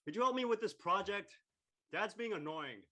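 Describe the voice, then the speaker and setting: A man's voice: high-pitched, animated, normal speed, sharp tone. A man playing an exasperated, snarky teenage son in an audio drama. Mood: annoyed.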